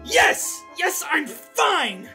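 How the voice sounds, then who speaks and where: A man voicing an excitable, shrieky male cartoon henchman with a melodramatic streak. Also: very high-pitched, drawn-out words, voice pushed up, full character voice. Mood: vengeful. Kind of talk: cartoon fantasy voice acting. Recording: music under the voice.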